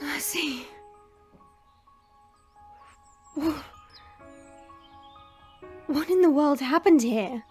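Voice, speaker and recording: A woman playing a proud, teasing but caring fantasy woman in an audio drama, with a smug, drawn-out delivery. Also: high voice, a little mellow, faint music behind. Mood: grave. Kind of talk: audio roleplay acting.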